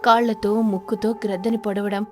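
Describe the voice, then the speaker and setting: A woman's voice: mid-range voice, flat delivery, brisk, music in the background. A woman storyteller reading a scripted children's story narration.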